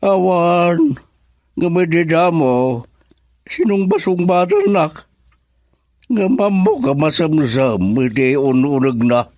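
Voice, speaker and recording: Man doing a drawn-out old husband. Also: on the high side, expressive, a little mellow, no echo, clean studio sound. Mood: reflective. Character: old husband counselling his wife. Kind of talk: radio drama acting.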